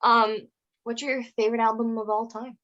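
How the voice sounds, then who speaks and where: Woman podcast co-host; giggly, chatty, slightly high voice; dull lo-fi sound. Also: little pitch movement, moderate pace, a bit bright. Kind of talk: casual interview chat. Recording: dull lo-fi audio.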